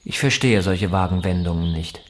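Actor as a low-voiced, bitter, defensive husband. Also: male voice, normal speed, close to an everyday voice. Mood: cool, defensive. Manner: clipped, formal, defensive. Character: tense, bitter husband in a wheelchair, coolly stubborn. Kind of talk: German radio drama acting.

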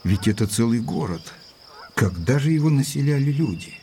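An actor playing an observant, courteous gentleman who narrates an audio drama in a measured, literary, polite way. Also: mid-range voice, animated, slight background noise, natural voice. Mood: courteous, puzzled.

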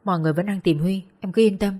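Lady reading a steady young doctor guy, firm and explaining, middle pitch. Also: very fast, husky, close to an everyday voice. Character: calm, stern young male doctor.

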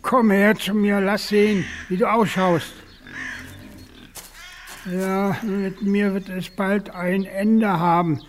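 An actor playing a bitter, world-weary old farmer facing death, brooding and halting, in a German radio play. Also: male voice, sits high, little pitch movement, slight background noise. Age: older.

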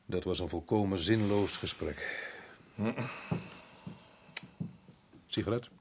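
An actor playing an earnest, troubled colonial official in a radio play, commanding yet full of doubt, with a husky voice. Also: male voice, low-pitched, normal speed, slight background noise, close to an everyday voice. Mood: weary.

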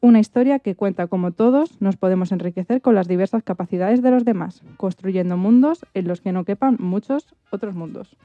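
A woman's voice: mid-range voice, fairly quick, hardly any pauses, no room sound. Woman storyteller, slightly dull dry recording. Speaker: author. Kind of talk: reading a personal story aloud.

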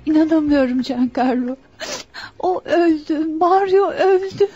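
An actress playing an anxious, tender young fiancée and daughter in a Turkish radio play. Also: female voice, high-pitched, unhurried, mellow, faint music behind. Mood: grieving. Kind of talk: Turkish radio theatre acting. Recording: dull, band-limited old radio drama.

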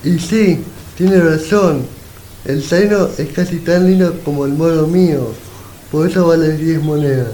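A man as courteous horse trader, mellow, slightly high, measured, radio play, echoey room. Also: lightly acted. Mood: polite, firm. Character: firm, courteous horse seller. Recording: roomy, faintly noisy amateur recording.

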